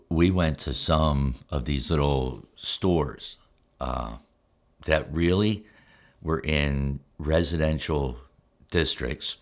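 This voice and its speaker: An older man reminiscing in a relaxed, unscripted ASMR style, unhurried and halting, often pausing mid-sentence. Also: a bass voice. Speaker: ASMR storyteller, channel host.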